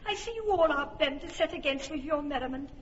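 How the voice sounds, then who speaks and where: A woman's voice: high-pitched, animated, a little fast, big-room sound, lo-fi sound. A wounded, indignant young woman. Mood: reproachful. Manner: impassioned, reproachful outbursts. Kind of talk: classic radio drama acting.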